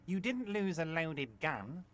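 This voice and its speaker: A man's voice: a little high, big pitch swings, a little slow. An actor voicing a pompous, patronizing middle-aged male union boss in a video game, theatrical and syrupy. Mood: mock-soothing.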